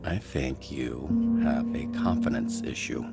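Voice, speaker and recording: An actor playing a gruff, blunt, profane middle-aged mentor in a video game. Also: male voice, rumbling low, slow pace, exaggerated voice. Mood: blunt encouragement. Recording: game audio with music behind.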